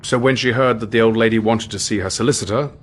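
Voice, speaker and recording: An actor playing a caring, inquisitive English doctor in a radio drama, with a British accent and a husky voice. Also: male voice, lowish voice, steady tone, quick pace, a little hiss or hum, lightly acted. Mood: puzzled, impressed.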